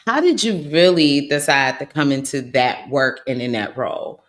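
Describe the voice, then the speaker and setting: An upbeat host leads a relaxed interview, nudging the guest with encouraging remarks. Questions come out chatty and a little meandering. The host turns to the viewers now and then. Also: medium pitch, moderate pace. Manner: upbeat, affirming, addresses viewers directly. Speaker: podcast host. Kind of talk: casual interview hosting.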